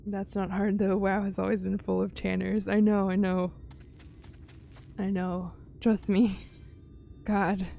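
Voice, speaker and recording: Mellow, unhurried woman chatting on a livestream. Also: mid-range voice, flat delivery, gravelly. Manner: informal, musing, often trailing off. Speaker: live game streamer. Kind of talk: casual livestream chat while playing a game. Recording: game music behind the voice.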